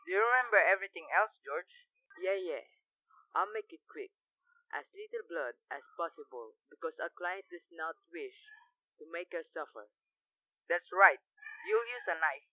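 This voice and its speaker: A man's voice: fairly high pitch, lively intonation, moderate pace, dry recording. A young man playing a businesslike criminal in a radio drama, heard through a telephone filter. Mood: cold, businesslike. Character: businesslike criminal plotting coolly over the telephone. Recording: dull, band-limited telephone-line sound.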